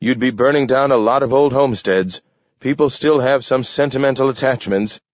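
A man's voice: mid-range voice, a little fast, no room sound, highs cut off, voice pushed up, close to an everyday voice. A man voicing a combative, scornful city alderman in a story, heated and declamatory. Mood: protesting.

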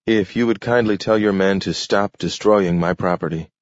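A man voicing a solemn young man in a storybook, speaking with cool, measured formality. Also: deep voice, dry recording, lo-fi sound, lightly acted. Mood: coolly polite.

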